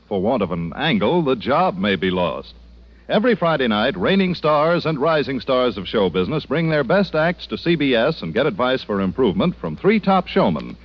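A man announcing in the polished, scripted style of mid-century American network radio. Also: mid-range voice. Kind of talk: 1940s-50s radio announcing, scripted promo. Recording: dull vintage radio broadcast.

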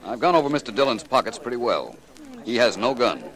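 A man acting a brisk, courteous private detective in a 1940s radio crime drama, with an American accent and an authoritative manner. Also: mid-range voice, sing-song, fairly bright tone, poor audio. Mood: composed, probing. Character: brisk, commanding male private detective taking charge. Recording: old 1940s radio recording.

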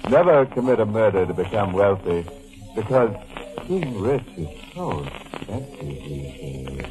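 A gleefully morbid, tongue-in-cheek horror host on old-time radio. His low voice is slow and sardonic, full of mock sympathy, with prominent music behind. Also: very animated, darkish tone, poor audio. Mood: macabre amusement. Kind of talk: 1940s radio horror narration.

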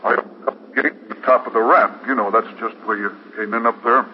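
A man's voice: mid-range voice, steady tone, a little fast, lightly acted. A man playing an earnest, rule-quoting American construction-site watchman in a 1950s radio drama, explaining things in a slightly defensive way. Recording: muffled, noisy 1950s radio recording.

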